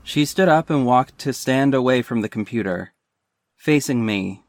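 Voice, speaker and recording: A man's voice: medium pitch, animated, moderate pace, no echo, lightly acted. Man playing wry superhero, first-person narration, dry asides, close dry recording, audio drama. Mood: serious, pondering. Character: wry, self-aware male superhero narrating his own story.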